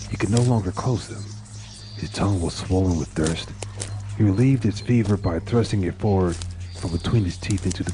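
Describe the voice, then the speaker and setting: A man with a very low voice reads a horror tale as scripted narration. His delivery is solemn and measured, with dramatic weight. Music plays clearly behind him. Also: poor audio.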